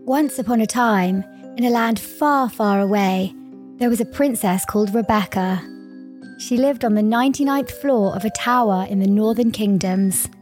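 A woman's voice: mid-range voice, moderate pace, darkish tone, faint music behind. A woman narrating a scripted fairy tale as a storyteller.

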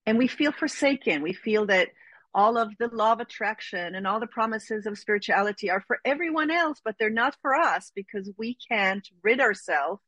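A woman's voice: mid-range voice, fairly bright tone, dry recording, rough recording. A middle-aged woman from Israel, a spiritual teacher speaking as an interview guest in an earnest, reflective way. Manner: earnest, reflective, slow with emphatic phrasing. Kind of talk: interview conversation.

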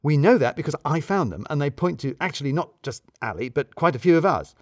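A man performs an obsessive male office worker playing detective in an audio story. He is fretful and emphatic, with animated pitch. The sound is close and dry. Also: brisk, no room sound. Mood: scheming, insistent.

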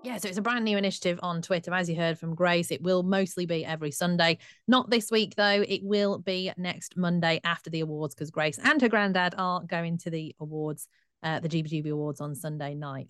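A woman, co-hosting a podcast in a brisk, upbeat, chatty way. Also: lowish voice, a bit bright, clear, resonant tone, dry recording, clean studio sound. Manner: brisk and upbeat, presenting the show. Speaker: co-host. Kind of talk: casual podcast chat.

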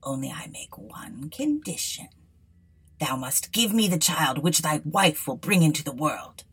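A woman voicing a stern old enchantress with a hushed, breathy delivery in a storybook reading. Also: low voice, big pitch swings, measured pace, full character voice. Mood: sly.

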